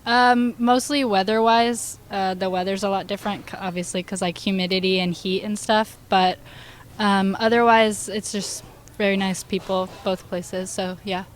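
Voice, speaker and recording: A woman's voice: medium pitch, steady tone, moderate pace, slight background noise. A woman from Florida answering a campus interview with many fillers. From Orlando, Florida. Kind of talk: casual street interview.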